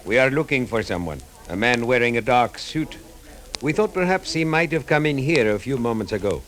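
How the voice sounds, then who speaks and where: An actor playing a polite, formal Egyptian policeman in a 1950s radio drama, speaking in a measured, courteous way. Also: medium pitch, expressive, a bit bright, close to an everyday voice. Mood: courteous, official. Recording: old radio recording, faint noise, clicks.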